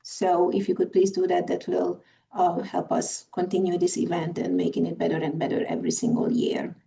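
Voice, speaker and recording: A woman's voice: fairly low pitch, animated, normal speed, creaky, dark tone, far from the mic. A Hungarian woman with a Hungarian accent moderating a virtual conference, apologetic and hesitant, with many fillers. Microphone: video call.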